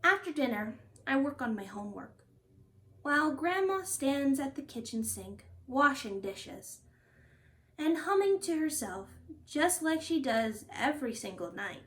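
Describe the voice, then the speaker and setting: Animated, high-voiced woman reading a storybook. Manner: expressive, deliberate phrasing for young listeners. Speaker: storytime host and reader. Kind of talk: children's picture book read-aloud.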